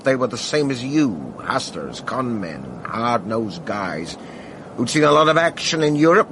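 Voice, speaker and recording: An actor playing a hard-nosed, middle-aged American Hollywood veteran in a radio drama, wry and guarded, with a laconic, folksy drawl. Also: male voice, mid-range voice, a bit bright. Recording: noisy archive radio broadcast with ambience.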